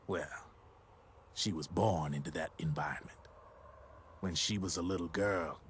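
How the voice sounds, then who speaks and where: An actor playing a gruff, streetwise arms dealer who tells grim war tales in a brooding, deliberate way in a game cutscene. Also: male voice, sits low, fairly bright tone, slight background noise. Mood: grave storytelling. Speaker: storyteller. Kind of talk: dramatic video game cutscene narration.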